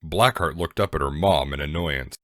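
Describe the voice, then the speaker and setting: A man's voice: extremely low pitch, normal speed, no echo, clean studio sound. A man narrating a scripted fan fiction story as a dramatic reading.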